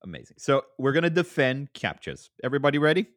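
A man's voice: very animated, fast talker, a bit bright, no echo. A man hosting a podcast in casual chat, playful and teasing. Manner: playful, jumps up in pitch for jokes. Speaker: podcast host.

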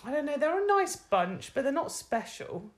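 A woman's voice: sits high, sing-song, normal speed. A woman co-hosting a casual film review podcast, bantering in a playful, jokey way. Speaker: podcast co-host.

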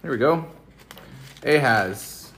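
A man's voice: medium pitch, expressive, slow pace, gravelly, fairly bright tone. Casting: man leading a family scripture reading, plain read-aloud with casual asides, roomy echo. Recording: roomy echo, faint background noise.

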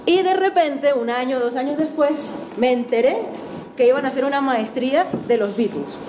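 Venezuelan woman guest speaker, bright-ish higher voice, chatty and self-mocking, noisy hall recording. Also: moderate pace. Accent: Venezuelan Spanish. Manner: chatty, self-deprecating, with light laughs. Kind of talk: lecture talk to a class. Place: university auditorium.

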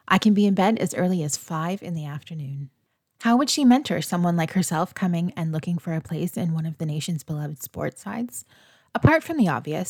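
A woman reading a magazine feature article aloud at an even, measured pace. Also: on the low side, dry recording, clean studio sound.

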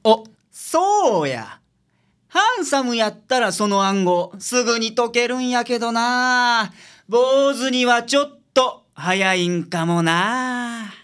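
A man playing a gruff, teasing detective boss with a Kansai accent in a radio drama. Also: very high voice, animated, slow, a bit bright, exaggerated voice.

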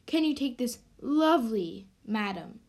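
Young woman playing a smug, slow-talking young man. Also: fairly high pitch, expressive, a little mellow, voice pushed down. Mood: patient, explaining. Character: self-assured, vain young man from a magic town. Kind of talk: amateur radio drama acting.

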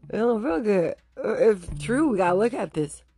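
A woman hosting her own podcast, speaking in a rambling, casual monologue with a raspy voice she is losing, and breaking into nervous laughs. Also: mid-range voice, sing-song, moderate pace, mellow, soft background music. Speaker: podcast host.

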